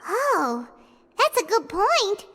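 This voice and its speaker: A woman voicing a tiny, excitable childlike fairy companion in a video game, with a squeaky, emphatic delivery. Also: takes it slowly, over music, heavily acted. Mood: curious. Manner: refers to self in third person, emphatic. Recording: heavy noise behind, long reverb.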